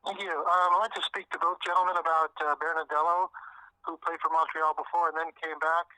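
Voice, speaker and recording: A man's voice: on the high side, level tone, a little fast, sharp tone, no room sound, low-quality recording. A man working as a sports journalist, putting a question on a press conference call.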